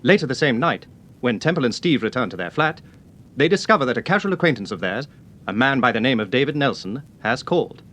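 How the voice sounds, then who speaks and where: A man's voice: medium pitch, big pitch swings, fast talker, fairly bright tone. A man playing the narrator of a radio mystery serial, giving a scripted recap. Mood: brisk.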